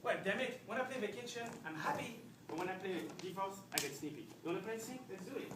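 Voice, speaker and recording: A man's voice: sits high, expressive, fast. A man playing an exasperated, sarcastic young lawyer husband quarrelling with his wife in a stage comedy-drama. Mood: angry, sarcastic. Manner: sardonic, argumentative. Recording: distant, echoey room with background noise.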